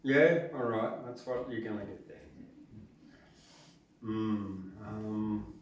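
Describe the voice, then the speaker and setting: An Australian man with a croaky, dry throat, chatting hesitantly on stage at an open mic between songs, repeating words as he decides what to play. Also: on the low side, slow pace, slight background noise. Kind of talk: casual banter between songs. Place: indoor venue hall. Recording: echoey hall from far off.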